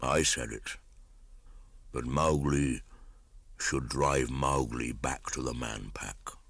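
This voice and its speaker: A man voicing an old, weary, tender bear teacher with a slow, grave and gentle way of talking, in a storybook reading. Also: extremely low pitch, slightly rough, no echo, voice pushed down, exaggerated voice.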